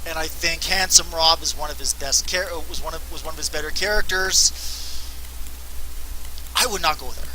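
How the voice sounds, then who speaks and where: A man chats through fan questions in a loose, rambling way, often laughing at his own jokes. His voice sits a little high and rings very bright. He takes his time, talking slowly with a faint hiss of noise behind him. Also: poor audio. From Canada. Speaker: wrestling promoter answering fan questions. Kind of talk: casual unscripted Q&A.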